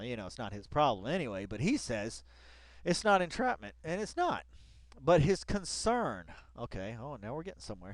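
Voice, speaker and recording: A man's voice: on the high side, fairly bright tone, dry recording. A man giving casual commentary as he reads aloud, rambling with exclaiming, self-interrupting asides and a sarcastic, mock-dramatic delivery. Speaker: online commentator. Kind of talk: casual commentary while reading an article aloud.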